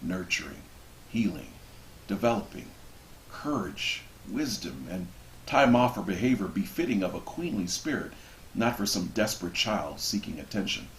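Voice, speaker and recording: Deep voiced guy reading a book out loud, measured and expressive, bit of noise behind him. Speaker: reader of a book introduction. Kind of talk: expressive literary reading aloud. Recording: roomy echo with background noise.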